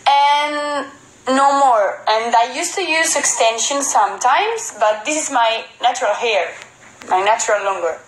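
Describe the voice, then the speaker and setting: A Spanish woman in her twenties chatting casually on a phone livestream, answering viewers in Spanish and halting English with a Spanish accent. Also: a little high, echoey room, low-quality recording. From Spain. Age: 20s. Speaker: model on a livestream. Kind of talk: casual livestream chat reading comments. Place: indoor room at home. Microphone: phone held at arm's length.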